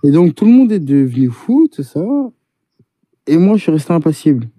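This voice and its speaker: Dark-voiced insistent man. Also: a little high, big pitch swings, moderate pace, husky, no echo. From Paris. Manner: insistent, argumentative, talks over others. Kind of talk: heated casual debate.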